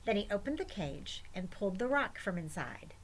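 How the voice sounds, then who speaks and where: A woman's voice: medium pitch, very animated, moderate pace, a little hiss or hum, poor audio. A woman storyteller reading expressively to children, with chatty asides.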